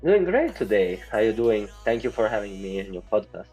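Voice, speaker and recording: An Italian man speaking English as a second language, answering interview questions in a halting way with many fillers. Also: lowish voice, big pitch swings, warm tone, faint music behind, poor audio. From Italy. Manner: hesitant, many fillers, non-native grammar. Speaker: guest.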